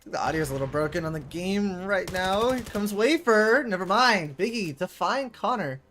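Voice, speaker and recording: A man's voice: lively intonation, normal speed, slight background noise. Eighteen-year-old male esports caster. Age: young adult. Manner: rambling and filler-heavy in chat, bursts loud and high on plays. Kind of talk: solo play-by-play game commentary with viewer chat. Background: game music.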